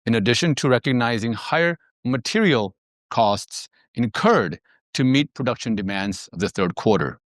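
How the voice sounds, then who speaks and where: A man speaking as a finance executive on an earnings call, presenting in a measured, businesslike way. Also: medium pitch, no echo, clean studio sound.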